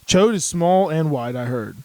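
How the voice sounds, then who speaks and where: A man's voice: on the high side, animated, measured pace, darkish tone, a little hiss or hum. A man co-hosting a comedy podcast in casual chat, swearing and joking crudely. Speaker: podcast co-host.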